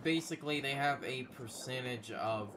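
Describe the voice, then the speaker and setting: A man co-hosting a gaming video, giving opinionated commentary in a casual, halting, rambling way. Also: medium pitch, background noise. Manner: rambling, halting, circles back to his point.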